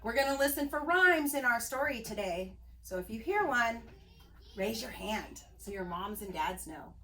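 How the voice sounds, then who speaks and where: A woman reading a picture book aloud like a preschool teacher, expressive and sing-song for young children. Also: a little high, moderate pace, a little hiss or hum.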